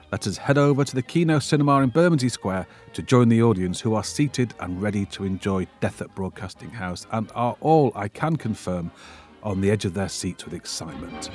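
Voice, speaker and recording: A man hosting a podcast and film event with wry, self-deprecating humour. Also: fairly low pitch. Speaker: podcast host.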